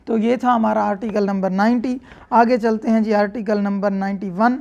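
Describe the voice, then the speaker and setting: A woman's voice: mid-range voice, fairly even intonation, moderate pace, vocal fry, a little mellow, highs cut off. A woman with a Pakistani accent lecturing, reading out formal clauses and explaining them in a didactic way, rephrasing each point. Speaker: law lecturer.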